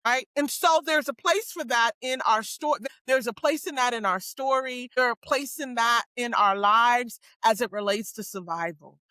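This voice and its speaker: A woman's voice: fairly high pitch, moderate pace, hardly any pauses, bright, forceful tone, dry recording. A woman from the United States hosting a faith-based podcast conversation, who speaks emphatically, repeats her phrases and tags her points with "right?".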